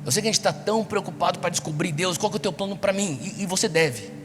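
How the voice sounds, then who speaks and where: Male Brazilian preacher, highish voice, urging a young crowd through repeated questions, clear music underneath. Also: quick pace. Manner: exhortative, rhetorical questions and repetition. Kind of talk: sermon to a young congregation. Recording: echoey large hall, music behind.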